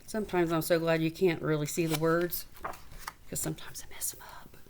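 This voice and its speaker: A woman's voice: low-pitched, level tone, brisk, a little mellow, slight background noise. A woman, an elementary school teacher, reading a storybook aloud with folksy, informal asides and encouragement. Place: small space away from school, at a little desk.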